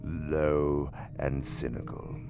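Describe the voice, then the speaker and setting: An actor playing a senior demon in a radio drama, a sly, imperious mentor who speaks pompously and savors each word with theatrical emphasis in a gravelly voice. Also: male voice, rumbling low, monotone, drawn-out words, over music, exaggerated voice. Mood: sly instructing.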